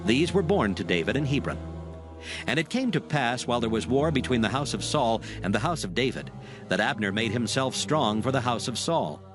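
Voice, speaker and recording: A man narrating dramatized scripture as a scripted reading, in a solemn, measured manner. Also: mid-range voice, expressive. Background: background music.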